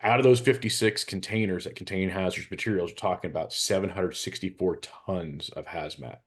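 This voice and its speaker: Deep-voiced American man explaining matter-of-factly. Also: a little fast. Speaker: hazardous materials expert on a panel show. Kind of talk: informal explanatory discussion.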